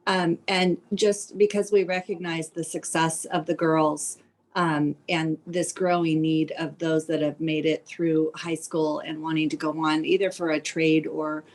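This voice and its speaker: A woman with a low voice speaks on an online group call as a charity's treasurer. She explains matters in a considered, measured manner. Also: level tone. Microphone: online conference call.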